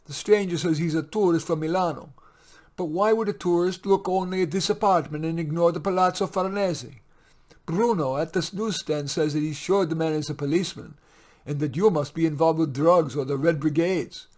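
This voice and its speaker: A man voicing a chatty, teasing older Italian housemaid with an Italian accent, giggly and animated. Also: on the high side, moderate pace, pitched above the performer's own voice, close to an everyday voice. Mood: gossipy.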